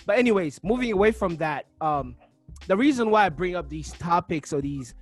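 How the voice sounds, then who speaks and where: A man's voice: sits high, animated, brisk, faint music behind. A man from Uganda hosting a podcast interview, thoughtful and searching for his words, often restarting his sentences. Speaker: podcast host. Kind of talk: casual interview chat.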